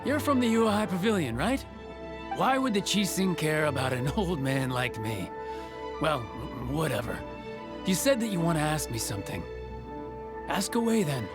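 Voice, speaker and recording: An actor playing a friendly, chatty old village carpenter in a video game who chuckles easily. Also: male voice, a little high, sing-song, moderate pace. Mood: genial. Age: older. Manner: chuckling, easygoing. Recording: game music behind.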